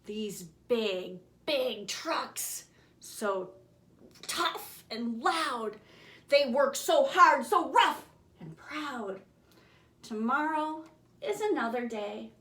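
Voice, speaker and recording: A woman reading a rhyming children's bedtime storybook aloud in an expressive, theatrical way, with dramatic shifts in volume. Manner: expressive, theatrical, swinging from booming to hushed whispers; slow, deliberate, rhythmic delivery of rhymes. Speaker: author reading her own picture book aloud. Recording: roomy, echoing room sound.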